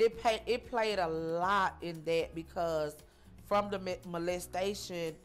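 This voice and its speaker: A woman in her forties tells her life story in an interview, her voice sitting on the lower side. She stops and starts, circles back and repeats herself, filling gaps with ums. Faint music plays behind her. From Georgia, US. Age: 40s. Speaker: interview guest.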